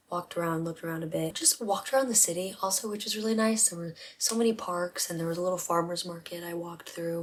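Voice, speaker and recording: A woman in her twenties chatting casually to her vlog camera in a hushed, half-whispered voice at home at night. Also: lowish voice, slight background noise. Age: 20s. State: voice rough from not talking. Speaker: vlogger.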